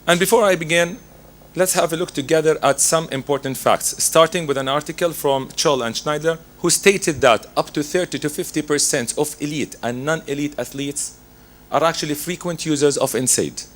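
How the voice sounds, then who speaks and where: Hoarse man giving a lecture. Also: on the high side, normal speed, fairly bright tone. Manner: methodical, reading through slides. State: hoarse from laryngitis. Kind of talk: medical lecture.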